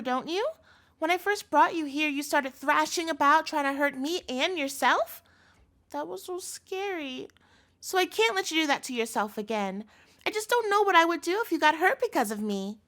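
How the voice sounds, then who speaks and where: Woman acting a high-pitched, sweetly possessive yandere girlfriend. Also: bright, forceful tone, full character voice. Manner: coaxing, cloying, pleading. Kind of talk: scripted audio roleplay.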